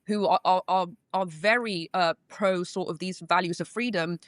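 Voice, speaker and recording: A woman's voice: fairly low pitch, normal speed, no room sound, clean studio sound. A woman, a guest attorney answering interview questions earnestly. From England. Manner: earnest, with restarts.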